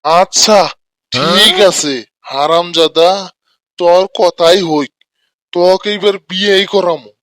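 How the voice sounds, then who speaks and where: Someone doing a gruff cartoon dad, grumbly, talks slow, rural bangladeshi accent, dry sound. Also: medium pitch, fairly bright tone, no room sound. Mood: exasperated. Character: gruff, grumbling village father of a grown son. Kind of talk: comic cartoon dialogue.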